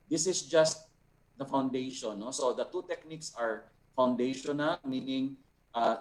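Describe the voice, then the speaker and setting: Filipino man teaching in a deliberate manner. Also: medium pitch, poor audio. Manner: explanatory, deliberate, often tags phrases with "no?". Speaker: art teacher and resource speaker. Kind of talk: unscripted teaching, answering participants' questions.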